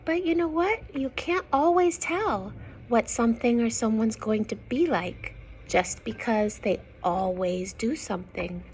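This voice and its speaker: Slow-paced woman reading to young children. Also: on the high side. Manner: expressive, gentle, sing-song reading, often dropping to hushed asides. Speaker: storyteller reading a picture book to young children. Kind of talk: children's read-aloud with asides to listeners. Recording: some background noise.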